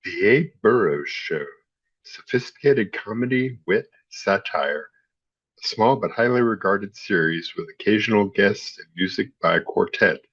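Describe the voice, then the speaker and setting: A man's voice: on the low side, lively intonation, measured pace, a bit bright, breathy edge, dull audio. A man narrating in a scripted style, reading encyclopedia-like history as a host.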